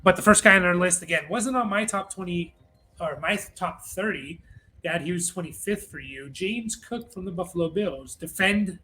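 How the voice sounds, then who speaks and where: A man's voice: high-pitched, normal speed, bright, forceful tone, low-quality recording. A man hosting a fantasy football show in a casual, conversational sports rankings style.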